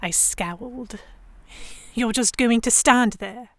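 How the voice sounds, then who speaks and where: A woman playing a young Victorian noblewoman captive, narrating her story in a measured, literary first person. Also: a little high, a bit bright, dry recording. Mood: weary, reflective, then indignant. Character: young English noblewoman captive, reflective, stubborn, Victorian era. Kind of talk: first-person scripted narration in an audio drama.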